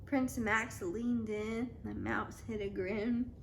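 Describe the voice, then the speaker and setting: A woman reading a picture book aloud as a mother to a toddler, in an expressive, dramatic storytime style. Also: fairly high pitch, slow, gravelly, a little hiss or hum. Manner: dramatic, child-directed.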